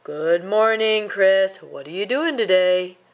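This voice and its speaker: A woman voicing a wise, watchful father mouse in a children's storybook, measured and admonishing. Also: mid-range voice, lively intonation. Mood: probing.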